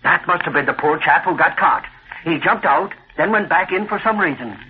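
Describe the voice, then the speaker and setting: A man playing an excitable, folksy sidekick in an old-time radio crime drama, eager and colloquial with plenty of exclamation. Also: a little high, moderate pace, slight background noise, close to an everyday voice. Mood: eager, surprised. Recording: muffled vintage radio recording.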